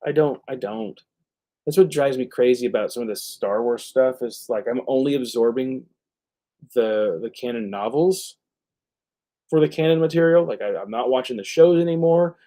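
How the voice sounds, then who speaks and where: Guy rambling casually on a livestream, soft-edged mellow voice, keeps stopping to find his words. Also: medium pitch. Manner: halting, searching for words. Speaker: live stream host. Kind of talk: casual rambling movie chat.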